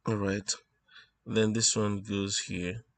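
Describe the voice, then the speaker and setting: Man, fairly low pitch, electronics tutor, informal step-by-step explaining, halting, thinking out loud. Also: little pitch movement, creaky, no room sound. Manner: halting, explanatory, thinking aloud with repeated words. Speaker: instructor presenting an electronics tutorial. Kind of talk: informal technical lesson, talking while drawing.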